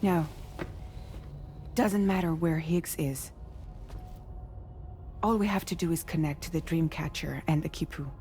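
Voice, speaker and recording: A woman's voice: deep voice, unhurried, long pauses, mellow, slight background noise. An actress playing a resolute, urgent woman leader in a video game, speaking in a firm, earnest, dramatic way. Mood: urgent realization. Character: determined adult female leader, resolute and urgent. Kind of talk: video game cutscene dialogue.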